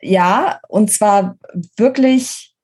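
A woman's voice: medium pitch, very slow, darkish tone, slightly rough. A woman speaking as an interviewed author, explaining things analytically in a conversational expert style. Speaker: interviewed guest, book author.

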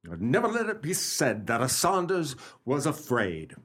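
A man's voice: medium pitch, wildly swooping pitch, a little slow, heavy background noise. A man playing an obliging, skittish but gamely brave companion in an old-fashioned radio play, with theatrical, exclamatory and politely old-fashioned speech. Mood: frightened, frantic.

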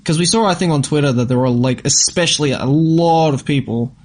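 A man's voice: on the high side, fairly quick, a little mellow. A man co-hosting a podcast, chatting casually in an informal, rambling, slangy way. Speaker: podcast co-host. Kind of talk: casual chat about travel.

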